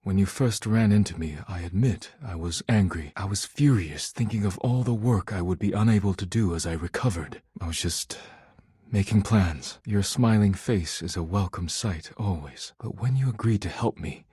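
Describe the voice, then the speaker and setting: A man voicing an earnest, proud poet in a video game, speaking in formal, solemn, stilted diction with a husky voice. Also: low-pitched, normal speed, mellow, no room sound, studio quality. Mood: earnest, reflective. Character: earnest, proud male poet devoted to dishware, formal and intense. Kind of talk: video game character voice acting.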